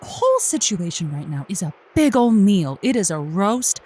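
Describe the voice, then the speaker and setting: A woman's voice: mid-range voice, sing-song, moderate pace, a little mellow, husky. A woman playing a sympathetic, folksy host of a comedy call-in advice show, encouraging in manner.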